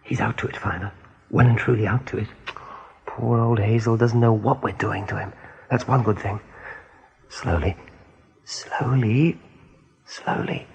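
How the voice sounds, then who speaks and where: A kindly, level-headed male rabbit in a radio drama. He has a lowish, very husky, breathy voice. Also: a little slow, long pauses, dull audio, heavily acted. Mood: careful. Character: steady, kindly male rabbit looking after his friends. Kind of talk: radio play acting.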